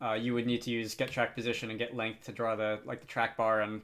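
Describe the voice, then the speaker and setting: A man narrating an unscripted software tutorial, thinking aloud with frequent hesitant pauses. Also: medium pitch, level tone. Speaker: tutorial host.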